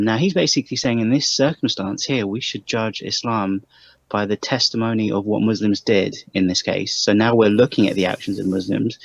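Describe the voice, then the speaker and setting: A man with a fairly low, creaky voice gives casual critical commentary on a panel. The recording is very dry and a little dull. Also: no room sound. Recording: dry, slightly dull lo-fi microphone.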